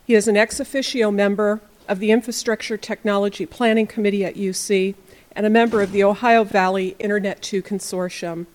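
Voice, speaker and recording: A woman's voice: medium pitch, fairly even intonation, brisk, darkish tone. A woman formally introducing the speakers at a conference session, reading prepared bios.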